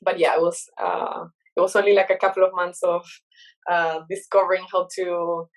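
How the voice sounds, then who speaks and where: A woman's voice: on the low side, flat delivery, moderate pace, rough recording. A woman in her thirties from Chile with a Chilean Spanish accent, speaking English as a second language as an interview guest, thoughtful and full of fillers and restarts. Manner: thoughtful, many fillers and restarts, laughs often. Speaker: guest artist. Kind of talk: casual interview chat.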